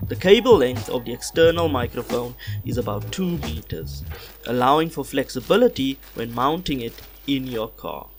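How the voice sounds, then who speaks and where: A man reading a scripted product unboxing voiceover in a measured, instructional way, recorded on a small external car-radio microphone. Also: mid-range voice, sing-song, soft background music. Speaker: host of a car audio channel. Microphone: small external car-radio microphone, cleaned-up voiceover.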